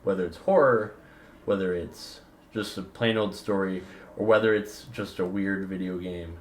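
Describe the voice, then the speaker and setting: A man's voice: low-pitched, moderate pace. A man giving a casual, opinionated video essay, talking emphatically and informally with slang in a rambling way. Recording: roomy echo with background noise.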